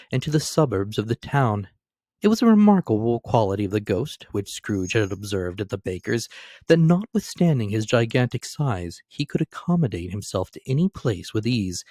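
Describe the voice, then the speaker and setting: A man narrating a classic story as a dramatic reading, expressive and theatrical. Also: lowish voice, no echo, studio quality.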